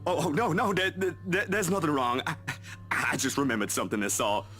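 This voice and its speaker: A man's voice: a little high, a little fast, a bit bright, faint music behind, voice pushed up. A man voicing an easygoing but guarded young male photographer from a visual novel, hesitant and modest. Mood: flustered.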